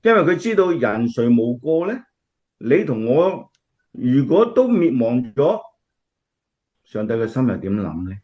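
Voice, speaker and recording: A man's voice: medium pitch, very animated, a little slow, long pauses. Man playing an earnest Cantonese preacher, slightly muffled. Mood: earnest preaching. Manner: explanatory, earnest, emphatic. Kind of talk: radio drama acting, sermon-like.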